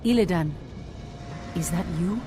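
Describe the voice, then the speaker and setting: An earnest, commanding elf priestess voiced by a woman in a game cinematic. Her voice sits on the low side, and a loud orchestral score plays behind her. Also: measured pace, over music, lightly acted. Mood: resolute. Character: resolute female elf priestess and leader. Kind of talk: video game cinematic acting.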